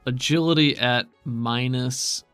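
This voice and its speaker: A man runs a tabletop roleplaying game, describing scenes and calling for rolls. His voice sits at a medium pitch in a very dry recording, with music playing underneath. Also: takes it slowly, a bit bright, clean tone, dry recording. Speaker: game master. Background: background music.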